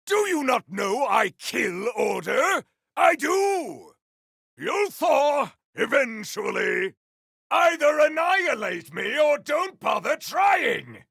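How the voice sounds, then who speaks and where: An actor voicing a fierce, honorable cyborg warrior in a video game, speaking in a theatrical, grandiose, gravelly way. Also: male voice, extremely high pitch, big pitch swings, slow, a bit bright, no room sound, raised voice, clean studio sound, full character voice. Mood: battle fury. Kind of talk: video game combat barks.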